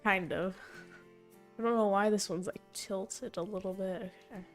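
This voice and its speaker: A young woman streaming a zoo-building game, thinking aloud and correcting herself as she goes, her voice slightly hoarse and fading. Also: medium pitch, fairly even intonation, moderate pace, faint music behind. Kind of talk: casual gameplay commentary.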